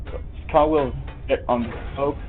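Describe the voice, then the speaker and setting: A man's voice: mid-range voice, fairly even intonation, measured pace. A man giving casual, offhand commentary as he plays a game live, playfully muttering to himself. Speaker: live streamer. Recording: tinny narrow-band stream audio, faint noise.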